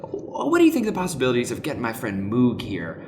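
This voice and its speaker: A man's voice: medium pitch, huge pitch swings, a little fast. A man playing a flippant, easily flustered wizard in an improvised comedic radio play, with a theatrical, hesitant manner. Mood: wry, casual. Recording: roomy echo with faint background noise.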